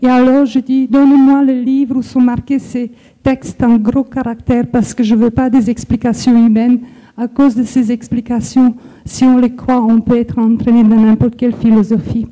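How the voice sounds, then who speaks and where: Earnest older woman, very bright high voice. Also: level tone, rough recording. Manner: slow, earnest, reflective with hesitations. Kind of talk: personal faith testimony.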